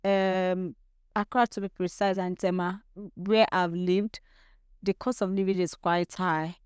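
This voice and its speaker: Clear-voiced Nigerian woman, dry sound. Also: on the low side, steady tone, unhurried, a little mellow, no room sound. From Nigeria, grew up in Lagos. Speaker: guest. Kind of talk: casual interview chat.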